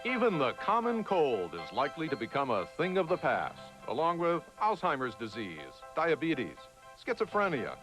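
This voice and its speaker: A man reading scripted narration for a television news special in a measured, emphatic way. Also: a little high, wildly swooping pitch, fairly bright tone. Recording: 1980s television on VHS, music underneath. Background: background music.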